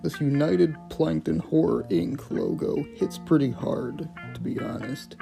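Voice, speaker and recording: A man's voice: fairly high pitch, sing-song, unhurried, creaky, over music. A man hosting a casual video essay on horror clips, speaking in an informal, opinionated way with plenty of swearing. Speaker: host of a video series reviewing analog horror.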